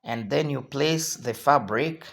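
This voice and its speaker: Hoarse male tutorial host, slow and repetitive instructional delivery. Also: medium pitch, fairly bright tone.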